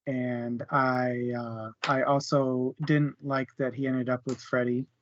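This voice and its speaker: A man's voice: medium pitch, little pitch movement, takes it slowly, no room sound, muffled recording. A man casually discussing a book as a co-host, hesitant and searching for words, with small chuckles. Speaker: book club podcast co-host.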